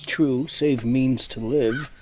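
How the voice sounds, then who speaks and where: A man's voice: medium pitch, steady tone, slow pace, a little mellow. A man playing a cynical, mocking nobleman in a Shakespearean audio drama, wry and scornful. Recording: distant mic, faint noise.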